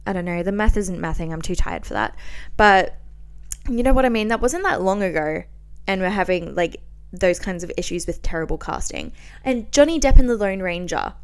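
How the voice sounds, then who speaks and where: A young woman with a clean, smooth voice talks as a podcast host. Her delivery is informal and chatty, full of slangy asides and filler "likes". She stresses her points expressively, as if talking to friends. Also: lowish voice, expressive, moderate pace. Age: 20s. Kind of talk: casual solo podcast chat.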